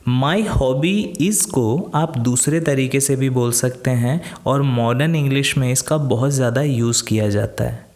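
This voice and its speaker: Slow-paced Indian male English teacher. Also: mid-range voice, a little mellow. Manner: methodical, repeats example phrases slowly and deliberately. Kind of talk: instructional lesson, explaining in steps with examples.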